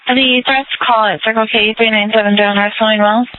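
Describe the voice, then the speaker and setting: A woman police dispatcher reading out calls and codes in a brisk, clipped way. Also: a little high, steady tone, narrow, tinny audio. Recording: scanner radio with hiss.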